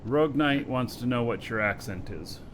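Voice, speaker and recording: A man's voice: mid-range voice, moderate pace, noisy background. Easygoing male stream host. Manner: relaxed, practical, offering suggestions. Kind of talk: casual livestream chat, reading viewer questions.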